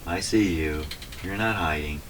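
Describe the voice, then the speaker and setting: A man with a very deep, slightly hoarse voice talks casually as he works at a workshop bench. He goes very slowly, often half to himself, reading out details with care. Clear background noise runs behind him. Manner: muttering to himself, reading out settings slowly and deliberately. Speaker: radio repairer and video host. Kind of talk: casual running commentary while working. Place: radio repair workshop at a bench. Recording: clearly noisy workshop recording with clicks.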